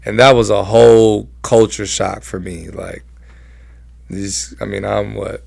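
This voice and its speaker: A man's voice: on the low side, flat delivery, unhurried, gravelly, a little mellow, dry recording. A young American man telling personal stories casually as an interview guest, in informal, slangy speech. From Southern California, United States. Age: young adult.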